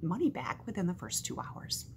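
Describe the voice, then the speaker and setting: A middle-aged woman reading a first-person story aloud in an animated, conversational storytelling manner, recorded indoors on a webcam. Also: lowish voice, moderate pace, vocal fry. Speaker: author reading from own book. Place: small home room, indoors. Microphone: webcam at desk distance. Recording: faint background noise on webcam.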